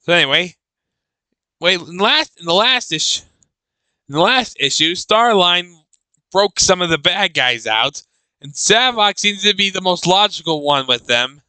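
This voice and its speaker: A man with a very bright, clean voice hosts a reaction video. He talks casually and haltingly, doubling back on his phrases. The sound is close and dry. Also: high voice, animated, smooth, dry recording. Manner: halting, repeats and restarts phrases. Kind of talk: casual live reaction commentary on a comic dub.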